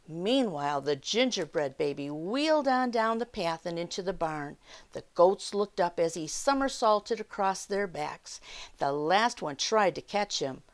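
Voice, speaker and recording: A woman with a mid-range voice reads aloud for children's story time. She is expressive and breaks from the storytelling for chatty asides, putting questions to the young listeners. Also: mid-range voice.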